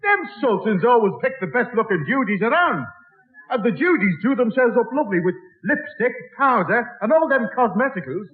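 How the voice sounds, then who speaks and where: Breezy, very high-voiced chatterbox. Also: male voice, sing-song, normal speed. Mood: knowing. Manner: breezy, jokey, malaprop-prone patter. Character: chatty, matey working-class man mangling long words. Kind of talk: 1960s radio sitcom acting. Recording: tinny old radio broadcast recording.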